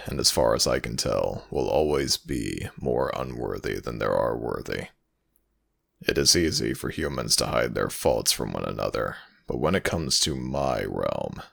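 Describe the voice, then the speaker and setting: A man voicing a stern, weary god of the dead in an ASMR audio roleplay, formal and commanding, with a gravelly voice. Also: rumbling low, a bit bright, full character voice. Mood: solemn.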